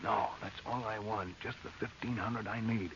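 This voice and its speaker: An actor playing a desperate, guilt-ridden amateur safecracker, hesitant and nervous, in an old radio horror drama. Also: male voice, fairly low pitch, steady tone, normal speed, lightly acted. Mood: tense, uneasy. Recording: muffled, very noisy old radio recording.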